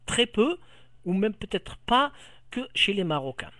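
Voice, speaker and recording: A middle-aged man from Algeria's Kabyle region with a Kabyle accent, explaining things to a viewer into a camera mic, halting and repeating words as he searches for them. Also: high-pitched, big pitch swings, pushed and bright, no echo, studio quality. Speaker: vlogger. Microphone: camera microphone.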